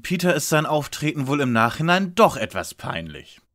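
A man playing a bossy, measured young lead detective in a parody detective audio drama. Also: a little high, very animated, a bit bright. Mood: questioning.

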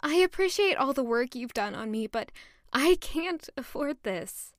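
A woman's voice: sits high, expressive, unhurried, no room sound, studio quality. A young woman playing an earnest, emotional young noblewoman in a romantic fantasy audio drama, hesitant and sincere. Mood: confused, anxious.

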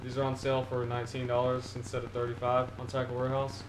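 A teenage boy talking casually about his fishing baits from a couch, filling the gaps with ums and restarting his sentences. His voice stays level and flat, of middling pitch. The small room bounces the sound back, and a steady hiss sits underneath. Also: quick pace. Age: teens. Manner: rambling, many ums and restarts, enthusiastic about gear. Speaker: fishing vlogger. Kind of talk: casual gear haul video. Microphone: camera on the floor in front of him. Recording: echoey room with a steady noise floor.

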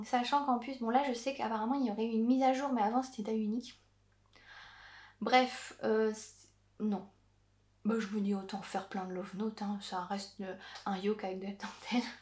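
A woman's voice: a little high, darkish tone. Chatty woman in an echoey craft room. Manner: chatty, many filler "euh" pauses, laughs often. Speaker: knitting podcaster. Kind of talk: casual vlog chat. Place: indoor craft room with yarn shelves. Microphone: camera on a desk, framed at chest.

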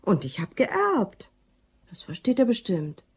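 Radio crime drama: scheming female office worker, dry, commanding, husky. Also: medium pitch, huge pitch swings, unhurried, a little mellow, exaggerated voice. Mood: confident, scheming.

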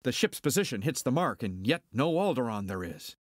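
An actor playing a cocky, skeptical smuggler pilot, declaiming theatrically in a Shakespearean dramatic reading. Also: male voice, mid-range voice, very animated, fairly quick, no room sound, clean studio sound. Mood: alarmed, bewildered.

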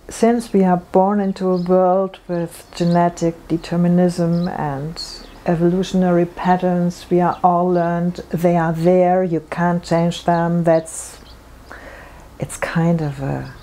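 Middle-aged female practitioner, earnest interviewee, warm low-ish tone. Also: slight background noise. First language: German. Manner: earnest, explanatory, with frequent restarts. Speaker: natural medicine practitioner. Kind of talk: interview answers. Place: room with bookshelves.

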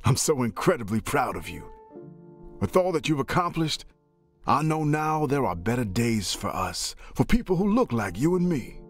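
An actor playing an affectionate, proud older brother in a video game, reading a hopeful letter in an earnest, expressive way. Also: male voice, medium pitch, normal speed, close to an everyday voice. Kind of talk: scripted dramatic letter narration. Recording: music under the voice.

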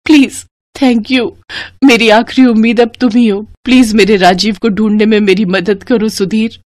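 A woman's voice: fairly high pitch, fairly even intonation, moderate pace, a little mellow, no echo, studio quality, close to an everyday voice. A woman voicing a grieving but steadfast young wife in a cartoon story, earnest and pleading. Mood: pleading, grateful.

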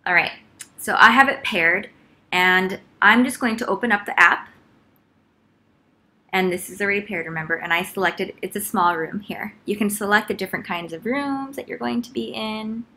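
Bright-voiced young woman giving a casual tutorial. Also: mid-range voice, moderate pace. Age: young adult. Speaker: vlogger and teacher. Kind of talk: casual tutorial talk to camera. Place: living room, indoors. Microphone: laptop mic at about arm's length.

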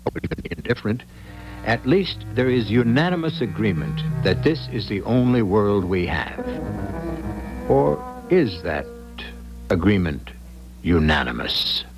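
A man with a lowish, gravelly voice narrates a 1970s radio mystery. His delivery is wry and theatrical, with clear music behind him. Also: big pitch swings, a little slow. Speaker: host and narrator.

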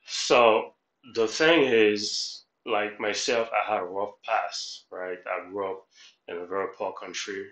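A man's voice: fairly low pitch, fairly even intonation, takes it slowly, a bit bright. A middle-aged man from Haiti giving motivational commentary as a life coach, instructive and repetitive, often checking in with the listener. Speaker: life coach, reaction host. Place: small room at home, indoors. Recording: roomy echo.

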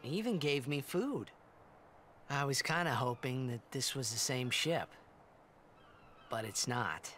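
Young male adventurer, boyish, impulsive, lively swinging pitch, video game dialogue. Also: a little slow, long pauses, slight background noise. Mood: wistful, curious. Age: young adult. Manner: boyish, expressive, casual.

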